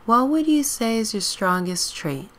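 Female store manager, acted by a woman: polite, measured, businesslike interviewer, slightly high voice, game story. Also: lively intonation, fairly bright tone. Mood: courteous, businesslike. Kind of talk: scripted life-simulation game story.

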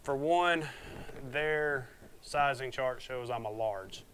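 A man's voice: medium pitch, slow pace, a bit bright, slight background noise. A man, chatting casually and rambling a bit as he unboxes motorcycle gear on his vlog, recorded on a lapel mic in a small room at home. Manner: plainspoken, rambling, folksy. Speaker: motovlogger reviewing gear. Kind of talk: casual unboxing talk to camera. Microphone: wireless lapel mic.

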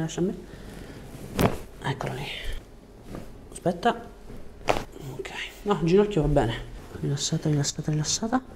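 A man's voice: on the high side, background noise. A man in his thirties, a chiropractor, giving a patient short, reassuring instructions during a hands-on exam. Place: small treatment room indoors. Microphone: lapel mic.

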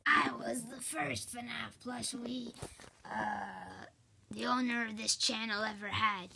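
A woman's voice: fairly high pitch, fairly even intonation, slow pace, creaky, background noise, full character voice. A woman voicing a fussy but friendly animatronic fox toy, insistent and chatty. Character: fussy, friendly fox animatronic plush setting records straight.

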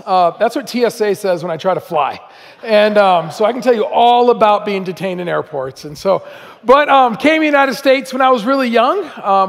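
A man in his forties stands before a church congregation, telling family stories in a loose, self-deprecating way with lots of ums and uhs. His voice sits fairly high for a man. A long reverb trails his words through the large hall. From Iran, raised in Southern California. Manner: chatty, self-deprecating, many fillers. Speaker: guest speaker. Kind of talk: storytelling sermon. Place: church auditorium.

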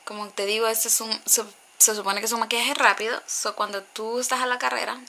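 A woman from Honduras in her thirties talks through a makeup routine into a close webcam. Her voice is fairly high, bright and a bit gravelly with fry. She rambles casually, restarts her sentences and drops in English words. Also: level tone, fairly quick. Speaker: beauty vlogger. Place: small bedroom, indoors. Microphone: webcam at close range.

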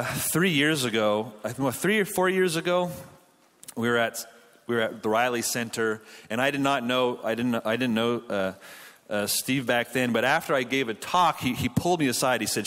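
A man's voice: mid-range voice, fairly bright tone. A man in his thirties from Quebec with a Canadian accent, giving a chatty, self-deprecating conference sermon on a handheld mic in a church auditorium. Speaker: pastor and conference speaker. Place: large church auditorium with stage. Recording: long hall reverb.

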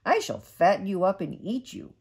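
A woman's voice: medium pitch, big pitch swings, moderate pace, darkish tone, breathy edge, voice pushed down, exaggerated voice. A woman voicing a sly, hungry old witch in a bedtime storybook reading, crooning and gloating.

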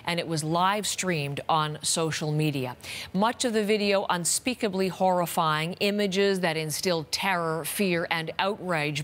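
Fifties woman news anchor, kinda low voice, steady and serious, studio mic. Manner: measured, grave delivery.

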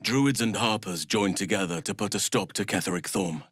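Fantasy game druid: gentle, grave elf guardian of nature, low-pitched, formal and measured, recorded close and dry. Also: male voice, husky, no room sound. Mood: reflective. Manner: solemn, measured, formal wording. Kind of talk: dramatic fantasy game voice acting.

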